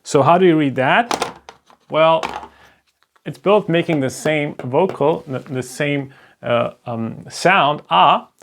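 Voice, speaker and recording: A man's voice: fairly high pitch, slow pace, creaky, a bit bright. A man with a German accent, a native German speaker, patiently teaching step by step and checking in with "right?". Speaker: Hebrew teacher. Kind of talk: slow explanatory lesson at a board.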